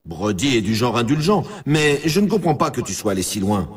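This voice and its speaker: A man playing a hyped-up, brash and teasing announcer in a snowboard racing video game, with a husky, rough-edged voice. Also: medium pitch, big pitch swings, a little fast, recorded from a distance, lightly acted. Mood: teasing warning.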